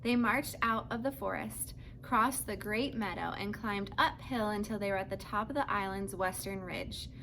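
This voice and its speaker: A woman's voice: medium pitch, normal speed, hardly any pauses, fairly bright tone, slight background noise. A woman narrating a children's book as a read-aloud, telling the story to young listeners. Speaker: storybook reader.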